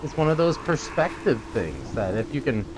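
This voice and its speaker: A man's voice: mid-range voice, sing-song, moderate pace. A man giving casual let's play commentary, muttering short, musing remarks to himself as he plays. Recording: clear background noise, game ambience.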